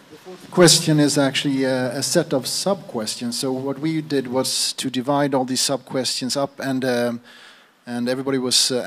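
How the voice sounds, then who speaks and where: A man explaining his group's results to a meeting in a halting, explanatory way. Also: medium pitch. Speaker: workshop group spokesperson. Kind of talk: informal spoken report to a meeting.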